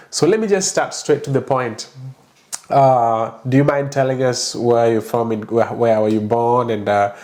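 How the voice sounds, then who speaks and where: A man's voice: mid-range voice, darkish tone. A man hosting a podcast, chatting casually, laughing often and restarting his sentences. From Kenya. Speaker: podcast host. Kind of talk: casual interview chat.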